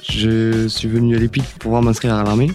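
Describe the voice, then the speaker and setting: A man's voice: on the low side, flat delivery, a little fast, darkish tone, soft background music. A nineteen-year-old man speaking hesitantly, with many fillers, on a youth radio show.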